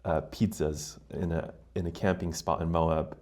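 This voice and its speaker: American male podcast guest, low-pitched, conversational, reflective explainer. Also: fairly even intonation, a little slow, a little mellow. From United States. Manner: reflective, explanatory, often checks in with "right?". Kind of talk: casual conversational interview.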